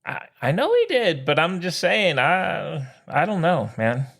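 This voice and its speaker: A man's voice: on the high side, sing-song, unhurried, vocal fry, a bit bright. A man co-hosting a sports podcast in casual banter, with an informal, exclamatory, slangy way of talking.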